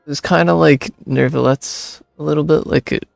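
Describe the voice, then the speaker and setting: A man's voice: on the high side, fairly even intonation, moderate pace, vocal fry, no echo, soft background music. A man streaming a video game, giving informal, offhand reactions in casual commentary.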